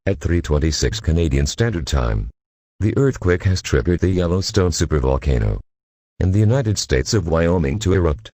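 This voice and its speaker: Male emergency alert announcer, synthetic, extremely low and husky, dry sound. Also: animated, normal speed, dark tone, dry recording. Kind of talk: scripted emergency broadcast narration.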